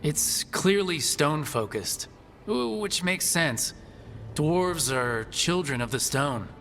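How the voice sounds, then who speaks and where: A man playing a calm, supportive hero in a fantasy video game, thoughtful and reassuring. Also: fairly high pitch, takes it slowly, faint music behind, full character voice. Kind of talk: scripted video game dialogue.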